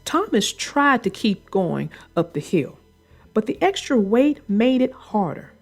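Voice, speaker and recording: A woman narrating a children's book in an expressive read-aloud storytelling style. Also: a little high, measured pace, faint music behind.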